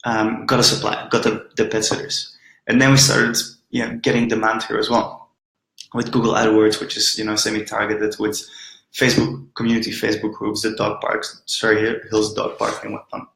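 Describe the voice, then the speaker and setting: A man's voice: lowish voice, monotone, moderate pace, gravelly, a bit bright, reverberant, low-quality recording. A man from Belgium with a Belgian accent, a startup founder telling his story in a casual interview, often saying "look" and "right?". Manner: chatty, peppers talk with "look" and "right?".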